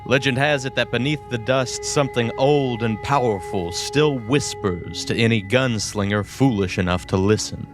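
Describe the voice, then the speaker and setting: A man's voice: medium pitch, normal speed, few pauses, music in the background. A man reading a dramatic scripted podcast trailer. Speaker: trailer narrator.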